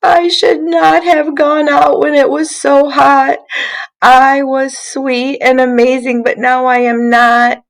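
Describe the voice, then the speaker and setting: A woman's voice: high-pitched, level tone, takes it slowly, pitched above the performer's own voice. A woman voicing a sad, self-pitying little popsicle stick in a plaintive way for a children's storybook. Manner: plaintive, lamenting. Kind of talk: children's storybook read aloud.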